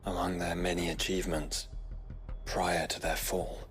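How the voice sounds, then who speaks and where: A courtly nobleman from a fantasy game, his voice on the low side. He is earnest and thoughtful, choosing formal words. Music sits faintly underneath. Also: level tone, measured pace, fairly bright tone. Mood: speculating. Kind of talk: dramatic video game acting.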